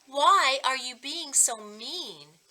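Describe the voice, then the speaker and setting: A woman acts out a pestering little sister in a storybook reading. She is chatty and insistent, with a bright voice that lifts higher and swings eagerly through her questions. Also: lively intonation, slow pace, exaggerated voice. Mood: exasperated.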